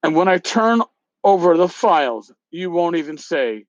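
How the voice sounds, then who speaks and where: A man's voice: fairly high pitch, expressive, moderate pace, no echo, highs cut off, pitched above the performer's own voice, natural voice. A man playing a defensive, irritable con man in a dramatic reading, curt, insulting and indignant. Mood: bitter, dismissive. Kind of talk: dramatic reading of an email exchange.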